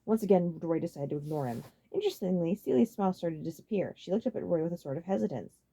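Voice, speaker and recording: Woman audiobook narrator, expressive storytelling, quick pace, lowish mellow voice, animated pitch. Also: gravelly, breathy edge. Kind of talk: dramatic scripted narration.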